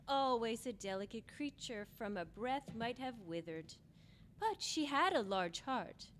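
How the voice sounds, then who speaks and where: A woman's voice: fairly high pitch, lively intonation, takes it slowly, a little hiss or hum. A woman playing a solemn, knowing spirit guide, questioning and commanding in a theatrical radio drama. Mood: gentle, grave.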